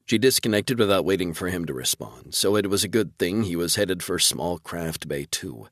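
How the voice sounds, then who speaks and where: A man reading scripted fiction narration in a low voice. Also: expressive, normal speed, fairly bright tone, no echo, clean studio sound. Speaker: audiobook narrator.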